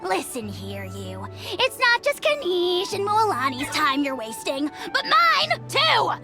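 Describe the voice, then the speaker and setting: A woman's voice: extremely high pitch, wildly swooping pitch, takes it slowly, heavily acted. A woman voicing a small, rude, short-tempered creature in an anime game, haughty and snapping. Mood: indignant. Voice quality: brash. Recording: music behind.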